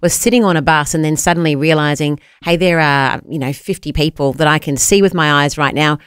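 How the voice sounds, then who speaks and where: A man's voice: fairly high pitch, steady tone, a little fast, a bit bright, smooth, no echo. Man, New Zealand accent, enthusiastic, radio co-host, dry studio sound. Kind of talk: Bible teaching chat.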